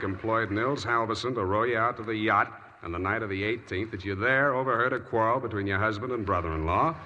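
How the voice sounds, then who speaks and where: A hard-boiled American detective in an old radio serial. His voice is bright and punchy, his delivery wry and sardonic. Faint noise sits on the 1940s recording. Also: on the low side, muffled recording.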